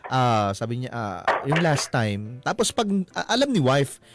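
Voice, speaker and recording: A man's voice: medium pitch, sing-song, a little slow. A man hosting a radio advice show, explaining conversationally with personal examples and often checking for agreement. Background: music.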